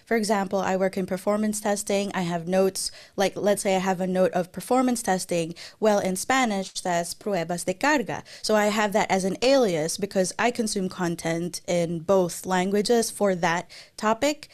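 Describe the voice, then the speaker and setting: A woman's voice: medium pitch, normal speed, hardly any pauses. A woman in her thirties, a native Tagalog speaker, fields audience questions from an indoor room over a webcam. She keeps it casual and chatty, with frequent fillers and restarts. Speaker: guest speaker. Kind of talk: live Q&A answers on a video call. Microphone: webcam video call.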